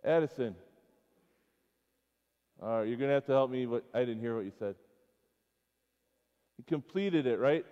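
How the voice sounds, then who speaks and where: A middle-aged American man giving an informal children's sermon in a church, halting between short phrases and putting questions to his listeners. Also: mid-range voice, lively intonation. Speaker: school chapel speaker. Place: church sanctuary, speaking before schoolchildren. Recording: dull, echoing church hall pickup.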